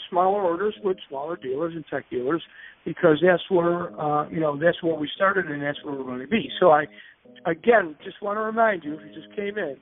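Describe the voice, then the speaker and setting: Over a narrow, tinny telephone conference line comes a man with a slightly high-pitched voice. He leads an informal business presentation, moving through it haltingly and hesitantly, often doubling back to restate things. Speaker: company presenter leading a dealer conference call.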